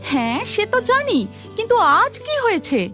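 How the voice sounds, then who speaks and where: Woman playing a high-voiced curious village wife. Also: big pitch swings, normal speed, pushed and bright, soft background music, heavily acted.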